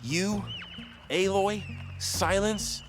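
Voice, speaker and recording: An actor playing an eager, sincere young hero in an animated video game, earnest and encouraging. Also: male voice, high voice, drawn-out words. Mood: heroic, sincere. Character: eager, sincere, supportive young male hero and comic-book fan. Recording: music underneath the voice. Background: music.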